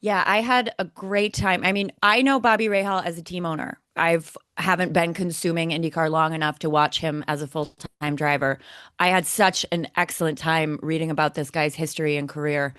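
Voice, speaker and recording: A woman chats casually as a podcast co-host, sounding enthusiastic and curious. Her voice is a little on the low side, recorded dry with hardly any room sound. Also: fairly even intonation, normal speed, fairly bright tone, smooth, no echo. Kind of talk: casual chat.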